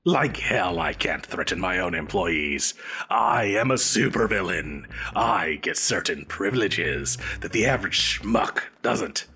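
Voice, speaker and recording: A man's voice: deep voice, expressive, a little slow, pushed and bright, full character voice. A man playing a petulant, theatrical supervillain boss in a comedic audio roleplay, with a pompous, melodramatic manner. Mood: indignant, pompous. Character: petulant, theatrical male supervillain boss, grandiose but exasperated.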